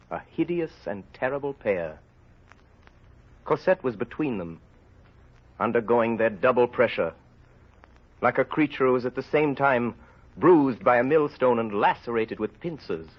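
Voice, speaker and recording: Old-time radio narrator: male, husky, grave, dramatic, dull vintage recording. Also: medium pitch. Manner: grave, deliberate, theatrical. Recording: muffled old radio with music behind.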